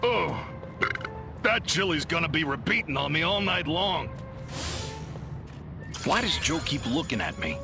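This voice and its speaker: A folksy, nervous dock worker in a video game. The voice is high and very animated, with exaggerated grumbling and gossip. Music plays clearly behind it. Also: male voice, unhurried, long pauses, exaggerated voice. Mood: uneasy, grumbling. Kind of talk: video game character acting.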